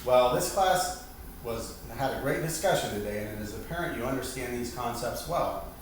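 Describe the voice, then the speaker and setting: A man reads out a formal lesson as an instructor. He sounds distant from the microphone in a heavily reverberant room, with clear noise behind him. His delivery is scripted, with small stumbles on technical words. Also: medium pitch, lively intonation, normal speed. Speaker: instructor leading a staged class. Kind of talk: scripted lesson presentation, reading aloud. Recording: very echoey room, far mic, loud background hiss.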